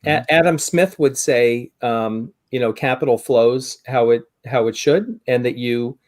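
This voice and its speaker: An American man in his fifties chatting on a podcast over a video call, reflective and wry, pausing as he works through his thoughts. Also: mid-range voice. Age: 50s. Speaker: podcast co-host or guest. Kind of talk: conversational podcast discussion. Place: indoor room on a video call.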